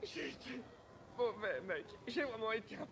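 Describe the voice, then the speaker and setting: An actor playing a cowardly, crude children's entertainer, melodramatic and self-pitying, in a dramatic film. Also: male voice, very high-pitched, expressive, moderate pace, background noise. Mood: self-pitying confession. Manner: frantic, crude, melodramatic, prone to yelling.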